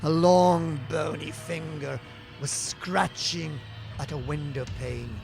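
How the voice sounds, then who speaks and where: A man's voice: a little high, slow, gravelly. A man narrating a scripted gothic horror tale in a theatrical, suspenseful storytelling manner with swelling emphasis. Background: music.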